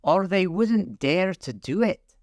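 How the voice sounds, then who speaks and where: A man's voice: high-pitched, slow, dry recording, pitched above the performer's own voice, full character voice. A man voicing a passionate, bossy female tiger lily in a storybook reading. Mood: imperious. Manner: imperious, excitable.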